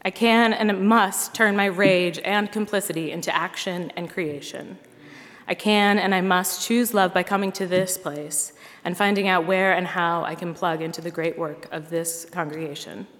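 A woman's voice: fairly low pitch, steady tone, moderate pace, a bit bright. A woman giving an earnest personal testimony to a congregation, speaking in rhythmic repeated refrains. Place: large church hall. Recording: long reverb of a hall.

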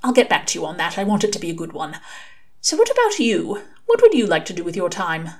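A woman's voice: on the low side, huge pitch swings, fast, heavily acted. Prim male angel, voiced by a woman: formal, fussy, earnest, a touch smug, in a storybook reading. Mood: bright, considerate. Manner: formal, mannered wording.

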